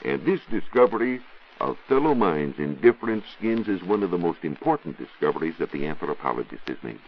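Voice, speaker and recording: An American man giving a scripted 1940s radio science talk as a reporter, didactic and rhetorical in measured phrases. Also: low voice, sing-song, breathy edge. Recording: dull 1940s radio recording.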